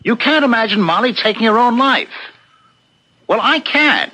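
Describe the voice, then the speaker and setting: A man's voice: extremely high pitch, animated, moderate pace, bright, forceful tone, heavily acted, low-quality recording. An actor in a 1970s radio drama playing a firm, reasoning man loyal to his friend. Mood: firm, remonstrating.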